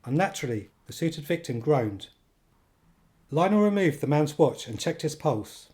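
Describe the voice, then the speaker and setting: An actor narrating a comic thriller radio play as a measured, deadpan storyteller, precise and dryly wry. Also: male voice, on the high side.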